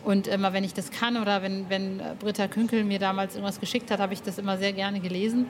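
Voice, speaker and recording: A woman's voice: mid-range voice, fairly even intonation, quick pace, slight background noise. A woman hosting a podcast interview in a casual, colloquial way, chatting with the open enthusiasm of a fan.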